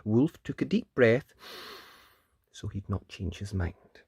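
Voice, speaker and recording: A man narrating a children's storybook in an expressive read-aloud style. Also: on the low side, takes it slowly, breathy edge, no echo, studio quality. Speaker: storybook narrator and channel presenter.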